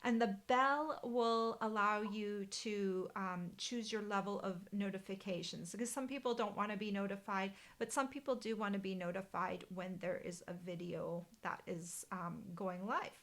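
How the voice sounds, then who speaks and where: A middle-aged woman, German her first language, chatting to viewers in a casual live stream from a bright living room, with many ums and restarts. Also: medium pitch, normal speed, hardly any pauses. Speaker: papercraft demonstrator hosting a live stream.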